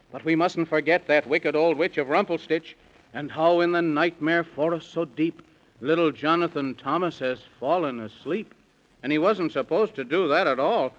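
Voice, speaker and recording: A man's voice: fairly high pitch, normal speed, a bit bright. A man telling a rhyming children's story in a singsong, theatrical 1930s radio style, on a lo-fi old recording. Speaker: storyteller announcer.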